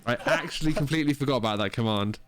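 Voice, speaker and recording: A man's voice: medium pitch, rapid-fire, faint music behind. A young man co-hosting a podcast, ribbing his friend with dry, sweary humor. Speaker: podcast co-host. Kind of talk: casual banter.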